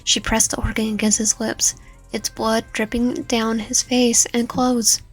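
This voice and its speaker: A woman's voice: fairly high pitch, level tone, measured pace, husky, poor audio. A woman narrating a dramatic story read aloud, stumbling over and repeating some words as she reads. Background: background music.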